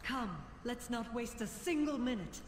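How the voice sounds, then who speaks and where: A woman's voice: fairly high pitch, a little slow, distant mic, lightly acted. A woman playing an earnest, purposeful druid guide in a fantasy video game. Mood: calm resolve. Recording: echoing reverb under loud music.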